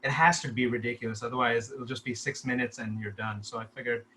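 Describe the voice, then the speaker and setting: Bright-voiced man in casual discussion, some noise. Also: medium pitch, fairly even intonation, quick pace. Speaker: filmmaker discussing his short script at a table read.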